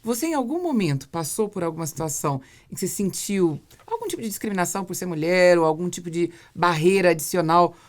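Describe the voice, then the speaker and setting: Quick-speaking Brazilian woman hosting a podcast. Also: fairly low pitch, expressive. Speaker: podcast host, interviewer. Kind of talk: conversational interview.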